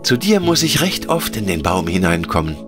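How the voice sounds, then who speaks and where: A man's voice: low-pitched, very animated, moderate pace, over music, voice pushed up. A man voicing a curious, innocent little girl in a fairy-tale audiobook, with an eager and naive way of talking. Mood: delighted. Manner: eager, naive, lilting questions.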